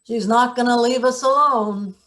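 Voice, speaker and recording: A woman playing a sardonic, deadpan maintenance boss in a table read of a satirical play. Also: a little high, moderate pace, lightly acted. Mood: dry, blunt. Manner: deadpan, blunt. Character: gruff, sardonic female maintenance worker boss.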